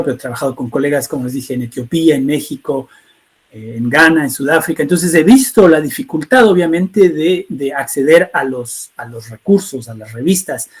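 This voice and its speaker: A middle-aged man from Ecuador speaks in a fairly high register as he lectures in a webinar. He talks loosely, restarting phrases and checking in with tag questions. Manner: frequent fillers and restarts, rhetorical tag questions. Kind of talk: lecture-style talk.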